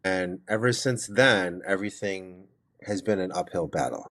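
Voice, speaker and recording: Low-voiced man telling his story. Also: normal speed, a bit bright. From United States. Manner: rambling, with frequent restarts and fillers. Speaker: interview guest. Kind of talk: casual interview storytelling.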